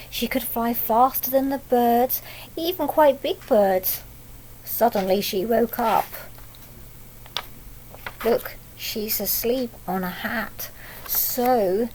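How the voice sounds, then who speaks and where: A woman's voice: fairly high pitch, a little mellow, slight background noise, poor audio. A British woman reading a picture book aloud like a primary teacher, with simple child-directed asides and little tag questions. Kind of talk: children's storytelling with explanatory asides.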